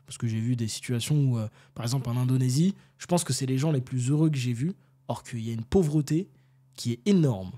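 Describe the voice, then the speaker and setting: A man from France, an interview guest telling stories in casual, animated, slangy talk and often seeking agreement. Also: medium pitch, fairly quick. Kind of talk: casual conversational storytelling.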